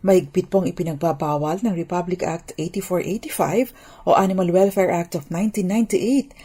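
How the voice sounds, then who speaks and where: A woman attorney giving a formal, deliberate legal explanation. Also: on the low side, dark tone, husky.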